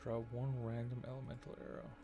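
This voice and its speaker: A man doing casual video game commentary as a streamer, thinking aloud in short, low-key mumbled phrases. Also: level tone, fairly quick. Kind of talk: casual gameplay commentary, reading card text aloud. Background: game music.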